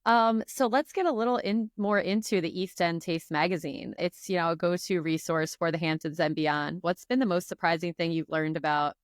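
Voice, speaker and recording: A woman's voice: lowish voice, fairly even intonation, normal speed, few pauses, no echo, clean studio sound. A woman hosting a casual podcast interview, speaking conversationally with frequent fillers like "like". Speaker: podcast host.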